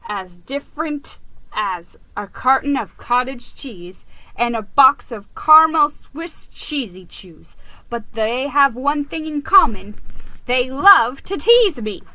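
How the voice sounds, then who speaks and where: Woman reading kids story aloud, very expressive, bright high-ish voice, takes her time. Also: low-quality recording. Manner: expressive, theatrical. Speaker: narrator.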